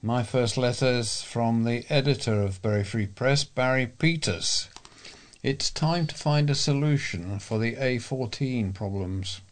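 A British man reading local news and letters aloud as a volunteer, in a measured way. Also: medium pitch.

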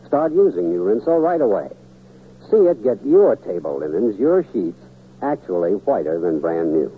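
A man giving an earnest, emphatic scripted sales pitch as a 1940s radio commercial announcer. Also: very animated, normal speed, slightly rough. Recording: noisy, narrow-band old radio recording.

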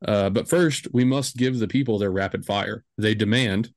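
A man's voice: on the low side, normal speed, dry recording, studio quality. A man in his twenties hosting a podcast, chatting casually and rambling with lots of fillers and jokes. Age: 20s. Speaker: podcast host.

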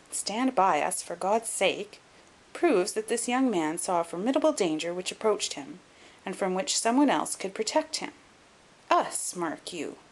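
Woman reading as a lively, shrewd gentleman detective. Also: mid-range voice, rough recording. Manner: measured, articulate, dry. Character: shrewd, composed gentleman detective, dryly superior. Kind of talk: audiobook character acting.

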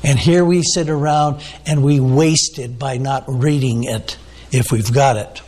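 A man's voice: medium pitch, steady tone, unhurried, darkish tone. A man preaching a sermon, teaching off the cuff with many "uh"s and questions to the listeners. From United States. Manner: unscripted teaching with frequent fillers, asks the listeners questions. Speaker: pastor.

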